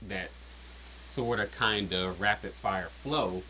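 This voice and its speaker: A man with a very low, deep voice talks through the meaning behind his own rap verses. His tone is bright and forceful despite the low pitch. He rambles casually, filling gaps with "um", "like" and "you know". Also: slow pace, slight background noise, low-quality recording. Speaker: rapper breaking down his own lyrics. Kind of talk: casual explanatory chat.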